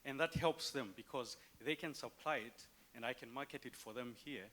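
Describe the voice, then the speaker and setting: A man's voice: mid-range voice, moderate pace, fairly bright tone. A man from Zambia with a Zambian accent, speaking from a conference audience in a polite, upbeat and eager way. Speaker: audience member. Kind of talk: audience comment.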